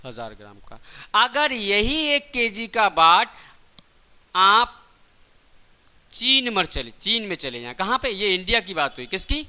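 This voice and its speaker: A man's voice: sits high, sing-song, measured pace, long pauses, pushed and bright, smooth. A man teaching a class, asking questions and answering them himself, repeating key points in simple everyday examples. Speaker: physics teacher for exam coaching.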